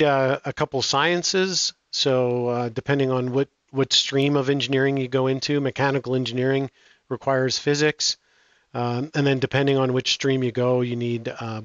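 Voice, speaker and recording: A middle-aged man answering interview questions in a relaxed, halting way, with many restarts and fillers. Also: mid-range voice, no echo, lo-fi sound. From Canada. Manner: hesitant, frequent restarts and fillers. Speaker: guest.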